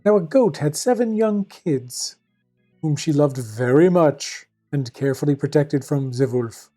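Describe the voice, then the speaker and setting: A woman storyteller on an evening fairy-tale show, deadpan and formal, her low voice sitting over faint music. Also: a little slow. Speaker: fairy tale reader and host. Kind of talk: scripted storybook narration with dry asides.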